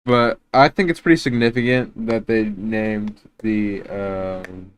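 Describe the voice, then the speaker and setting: Low, smooth-voiced man reacting casually. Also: fairly even intonation, takes it slowly. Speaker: reaction video host, YouTuber. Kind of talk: casual off-the-cuff commentary.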